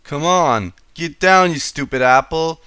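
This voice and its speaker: A man's voice: a little high, unhurried, pushed and bright, clean tone, dry recording, clean studio sound, pitched above the performer's own voice. A man voicing a hot-tempered young female farm pony with a brusque, folksy in a storybook reading. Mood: angry, snapping.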